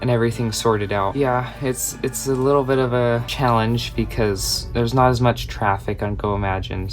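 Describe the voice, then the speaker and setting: Chatty young man over background music. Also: mid-range voice. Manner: chatty, rambling, drifts off topic. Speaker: crochet vlogger and small shop owner. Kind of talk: casual crochet tutorial chat.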